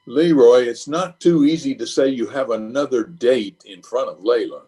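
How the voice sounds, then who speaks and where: A man's voice: mid-range voice, moderate pace, warm tone, natural voice. A man playing a pompous, flustered middle-aged bachelor uncle in an old-time radio comedy, exasperated and hemming and hawing.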